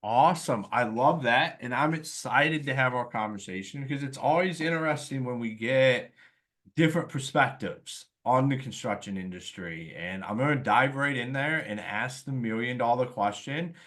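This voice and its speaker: A man's voice: medium pitch, normal speed, fairly bright tone. A man hosting a podcast, upbeat and enthusiastic, introducing guests and reading his own promotional spots. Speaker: podcast host. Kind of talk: podcast hosting and self-read ad.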